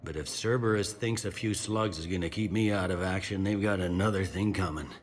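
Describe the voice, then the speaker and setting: A man playing a gruff, dogged security commander in a video game, speaking in a blunt, world-weary way. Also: deep voice, a little fast, soft background music, close to an everyday voice.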